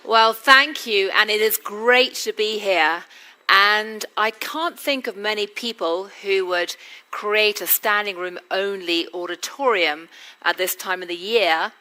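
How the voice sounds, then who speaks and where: A woman's voice: medium pitch, measured pace, sharp tone. A British woman interviewing a guest on stage before a live audience, with a witty, teasing manner. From Britain. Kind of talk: onstage conversation.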